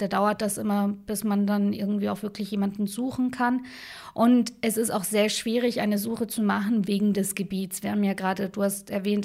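A woman co-hosting a true crime podcast, telling the case in a relaxed, conversational way with fillers and asides. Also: mid-range voice, vocal fry. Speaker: podcast co-host.

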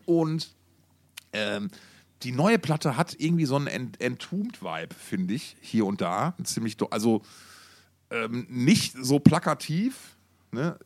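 A man talks in a very lively, swooping voice, recorded close and dry. He co-hosts a casual music podcast and speaks colloquially, with plenty of slang and interjections. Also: medium pitch, measured pace, dry recording. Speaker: podcast co-host.

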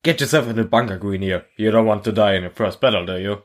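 A man voicing a grim, weary veteran soldier with a calm, low, ominous delivery. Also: fast talker, lightly acted.

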